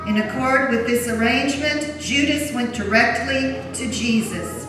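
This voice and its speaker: A woman with a slightly high voice gives solemn, measured scripture narration. She is heard at a distance in a very echoey church, with clear background noise. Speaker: narrator of a Passion Gospel reading. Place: large church during a service.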